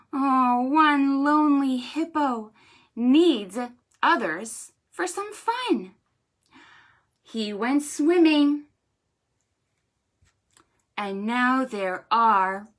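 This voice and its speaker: A woman hosting storytime for preschoolers in an exaggerated sing-song way, encouraging them and pausing after her questions. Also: high-pitched, expressive. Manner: sing-song, exaggerated delivery for small children. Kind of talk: interactive storytime for young children, counting rhymes.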